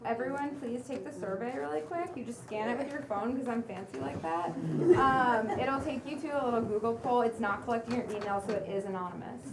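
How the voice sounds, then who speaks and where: An excited, giggly woman casually thanking and addressing a group as the event organizer, in an echoey, noisy crowded room. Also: fairly high pitch, a little fast, a crowd in the background. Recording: echoey crowded room, distant mic, loud noise.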